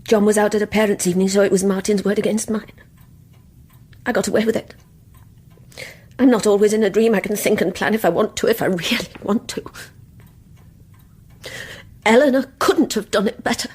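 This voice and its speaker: An actress playing a guilt-ridden, envious woman confessing in an intense radio play performance, with a breathy voice. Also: mid-range voice, steady tone, brisk, pauses often, lightly acted. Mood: coldly proud. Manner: intense, wavering between hushed confiding and anguished outburst. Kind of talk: radio play acting, dramatic confessional monologue.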